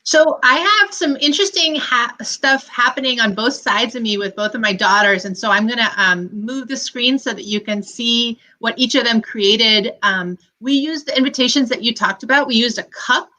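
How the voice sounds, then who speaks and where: A woman's voice: a little high, normal speed, hardly any pauses, pushed and bright, poor audio. A woman speaking as a guest on a video call, casually showing and explaining things. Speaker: workshop guest. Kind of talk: casual show-and-tell.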